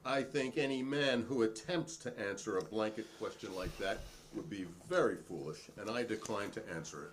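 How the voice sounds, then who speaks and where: A man's voice: lowish voice, normal speed, few pauses, a little hiss or hum, close to an everyday voice. A man playing a defiant, proudly principled hearing witness in a dramatic transcript reading, formal and pointed in manner.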